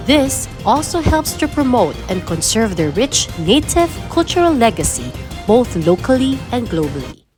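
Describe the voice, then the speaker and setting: A woman giving a scripted, formal narration as host of the program. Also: mid-range voice. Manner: lively, formal read-aloud delivery.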